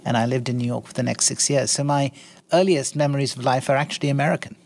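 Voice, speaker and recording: A man in his sixties from India, a guest in an interview, speaking in an articulate, measured way with frequent ums and restarts. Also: mid-range voice, fairly even intonation, vocal fry, a little mellow. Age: 60s. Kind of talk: interview conversation.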